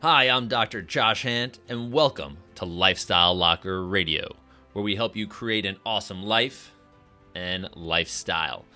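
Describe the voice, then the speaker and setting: A man's voice: deep voice, normal speed, pushed and bright, soft background music. A man hosting an interview podcast, introducing the show and putting questions to his guest. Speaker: podcast host.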